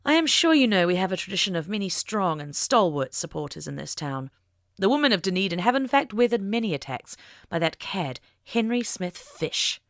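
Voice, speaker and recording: A woman narrator voicing an earnest, wry older suffragist with formal, measured phrasing in an audiobook. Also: medium pitch, sing-song, fairly bright tone, no room sound, studio quality. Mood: wry, sharp. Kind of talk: audiobook character dialogue.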